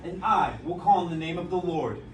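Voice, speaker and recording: A man playing a fiery prophet in a dramatized scripture recitation, loud, declamatory and emphatic. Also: sits high, brisk, natural voice. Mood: challenging. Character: fiery male prophet, taunting rivals, pleading with God. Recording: roomy live recording, distant mic, faint noise.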